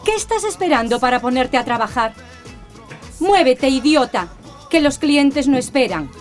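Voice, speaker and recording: A woman's voice: high voice, expressive, moderate pace, over music. An actress playing a harsh, bullying female brothel boss who gives orders with contempt in a radio drama. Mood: harsh, threatening.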